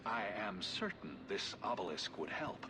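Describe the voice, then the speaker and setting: A man voicing a formal, deliberate alien in a video game. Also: lowish voice, sing-song. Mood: earnest. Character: slow, formal alien man addressing a human. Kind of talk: video game character acting. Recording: reverberant hall with crowd noise.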